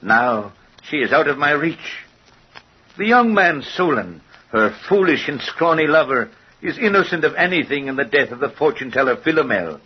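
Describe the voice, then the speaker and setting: Bitter, husky aging inventor. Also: male voice, fairly high pitch, animated. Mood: grave, bitter confession. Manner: formal, theatrical, deliberate diction. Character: bitter, hateful aging inventor and stepfather, coldly menacing. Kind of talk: old-time radio horror drama acting. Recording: muffled, husky-sounding old radio recording.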